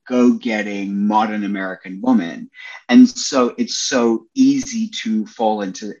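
A man's voice: fairly low pitch, dull audio. A young man, a Broadway performer, chatting as a podcast guest, enthusiastic and full of "like" and "you know" fillers. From Australia. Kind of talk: casual interview chat.

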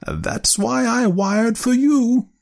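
A man's voice: high-pitched, animated, normal speed, creaky, a little mellow, voice pushed up, exaggerated voice. A man voicing a determined, eager elderly spinster aunt in an audiobook story. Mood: excited, delighted. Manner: eager, emphatic, firm. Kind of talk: audiobook character voice.

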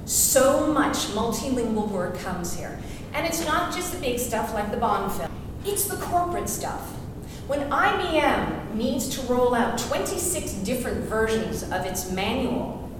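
A woman's voice: a little high, sing-song, a little slow, hardly any pauses. Punchy woman speaking in an echoey hall. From France and the United States. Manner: punchy, rhetorical, self-mocking. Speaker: actress and voiceover artist speaking at a forum. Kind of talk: public speech. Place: large event hall. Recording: echoey hall from far off, noisy.

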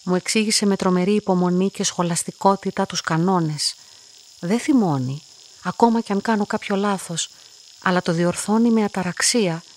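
An actress playing a quiet, lonely young woman who tells her own story in a radio play, in a calm, measured, reflective way. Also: medium pitch, close to an everyday voice. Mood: composed, content. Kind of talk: radio play monologue, literary first-person narration.

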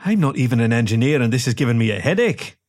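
A man's voice: mid-range voice, animated, quick pace, darkish tone, no echo, clean studio sound, close to an everyday voice. A man voicing a female raccoon ship's medic in an audiobook, matter-of-fact, literal and skeptical. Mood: incredulous.

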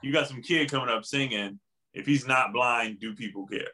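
A man's voice: medium pitch, normal speed. A man in a casual group chat who acts out quoted voices as he talks. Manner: animated, uses exaggerated quoted voices.

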